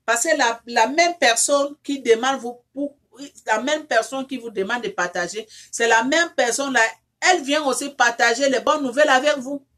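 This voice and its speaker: A woman leading a heartfelt prayer and appeal to her online audience, in French that is not her first language. Her voice sits a little high, and she circles back over the same words with fillers and restarts. As the prayer builds, she grows loud and insistent. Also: moderate pace. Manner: repetitive, fervent, with many restarts and fillers; rises into loud insistent pleading when praying. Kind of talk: informal prayer and appeal to viewers.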